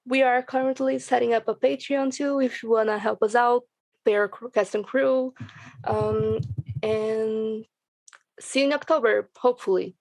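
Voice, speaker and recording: A woman chatting casually as a podcast guest, self-mocking and quick to laugh. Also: a little high, fairly even intonation, vocal fry, darkish tone, no room sound. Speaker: writer and voice actor, panel guest. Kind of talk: casual chat.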